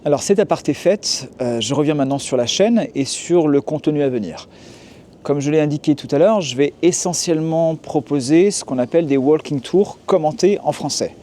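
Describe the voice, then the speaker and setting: Homme français calme, voix chaude. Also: male voice, fairly high pitch, normal speed. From France. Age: 40s. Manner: calm, explanatory, frequent hesitations. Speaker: YouTuber and tour guide. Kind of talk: casual to-camera vlog. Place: city street outdoors.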